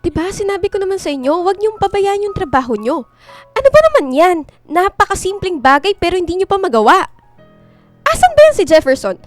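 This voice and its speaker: A strong, hardworking Filipino career woman in a radio drama. Her voice is high-pitched. Faint music runs behind her. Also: big pitch swings, fairly quick. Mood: exasperated, bossy. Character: strict, hardworking career woman, affectionate girlfriend.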